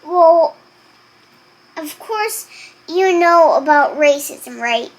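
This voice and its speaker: A young girl reading aloud and chatting to viewers in a halting, deliberate way. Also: high-pitched, lively intonation, rough recording. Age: child.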